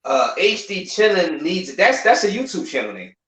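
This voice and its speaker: A man's voice: sits high, sing-song, moderate pace, fairly bright tone, roomy sound, low-quality recording. A man on a live stream, chatting casually, laughing often and repeating himself. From United States. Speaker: YouTuber guest on a live stream.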